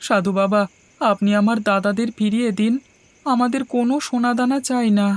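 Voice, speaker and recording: A woman's voice: on the high side, fairly even intonation, moderate pace, no echo, lightly acted. Woman voicing an earnest, pleading young village boy. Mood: worried, pleading. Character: kind, honest, devoted youngest brother, a village boy. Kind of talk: dubbed fairy tale cartoon dialogue.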